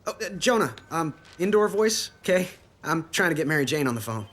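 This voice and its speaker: An actor playing a young American superhero in a video game, wisecracking, nerdy and earnest, sometimes hesitant. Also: male voice, a little high, sing-song, fairly quick, a bit bright, long reverb tail, a little hiss or hum. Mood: wry, easygoing.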